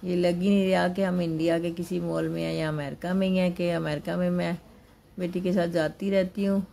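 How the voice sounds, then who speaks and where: A woman's voice: fairly low pitch, flat delivery, brisk, vocal fry, warm tone, slight background noise. An older woman in a busy indoor mall, narrating a family outing in a homely way into her handheld phone. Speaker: vlogger, grandmother. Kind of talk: casual vlog narration. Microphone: handheld phone camera.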